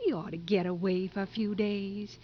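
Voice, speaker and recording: An actress playing a nagging but caring older sheriff's wife with folksy speech in a 1950s radio drama. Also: female voice, mid-range voice, steady tone, moderate pace, lightly acted. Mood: wistful. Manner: folksy, fussing. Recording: dull, faintly noisy 1950s radio recording.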